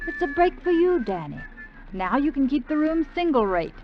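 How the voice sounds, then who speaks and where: An actress playing a fed-up, sharp-tongued gambler's girlfriend in a 1950s radio drama, cool and sarcastic. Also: high voice, very animated, moderate pace, soft background music. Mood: cold, scornful. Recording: dull vintage radio recording.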